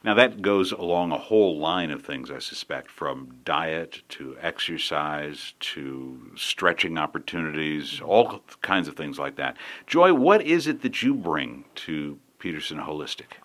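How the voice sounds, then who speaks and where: A man hosts a business radio talk show with a very low, deep voice. His intonation swings widely as he chats with his guests and asks them questions in a friendly, easygoing way. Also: animated, a little slow, a bit bright. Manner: friendly, chatty questioning with some repeated starts.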